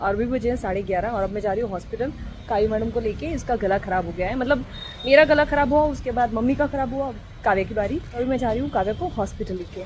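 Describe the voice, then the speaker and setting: A woman's voice: on the high side, quick pace, a little mellow, a little hiss or hum, rough recording. Chatty young woman vlogging outdoors. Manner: chatty, earnest storytelling with motivational asides. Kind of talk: casual vlog chat.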